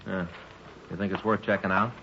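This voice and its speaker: An American man acting a low-key, weary police detective partner in a 1950s radio drama, with terse, understated delivery. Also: little pitch movement, brisk, noisy background. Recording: dull vintage 1950s radio recording.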